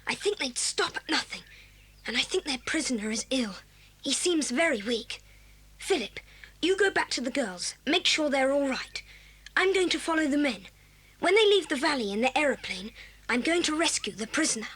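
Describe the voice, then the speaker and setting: A woman's voice: sits high, animated, moderate pace, a bit bright. A woman playing a keen, daring young adventurer with an English accent in a children's audio drama. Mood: hushed, resolute. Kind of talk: children's radio drama acting. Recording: hissy old cassette tape.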